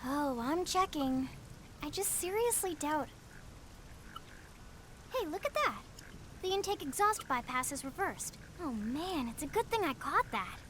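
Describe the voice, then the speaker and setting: Game role: chatty young teen girl fixer, very animated high voice, casual, upbeat. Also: measured pace, long pauses, slight background noise, full character voice. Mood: bright, eager. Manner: breezy, casual, eager. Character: perky, chatty thirteen-year-old girl mechanic. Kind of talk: video game cutscene acting. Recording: loud music under the dialogue.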